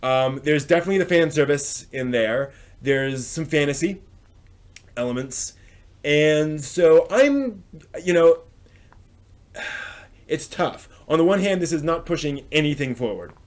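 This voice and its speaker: A man hosting a live stream, giving casual unscripted review commentary in a halting, discursive way, often circling back to rephrase. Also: fairly high pitch, lively intonation. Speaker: host of an anime news and review live stream.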